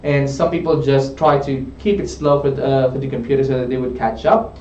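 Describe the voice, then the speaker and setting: A man's voice: mid-range voice, little pitch movement, brisk, a little mellow. Man tutoring from a distance, roomy. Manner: explanatory, often checks in with "okay?". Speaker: English tutor. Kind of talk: coaching a student in a studio chat. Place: studio room. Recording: roomy, distant, dull studio sound.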